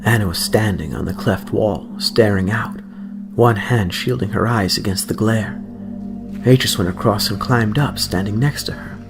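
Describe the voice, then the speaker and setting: A man's voice: lowish voice, normal speed, creaky. A man narrating an audiobook story in a dramatic, scripted reading style. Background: background music.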